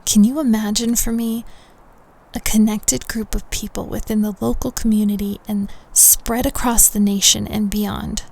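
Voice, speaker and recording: Creaky-voiced, coaxing young society recruiter played by a woman. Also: mid-range voice, little pitch movement, darkish tone. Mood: persuasive, enticing. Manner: coaxing, deliberate, confiding, with breathy near-whispered phrases. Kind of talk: intimate girlfriend roleplay audio drama.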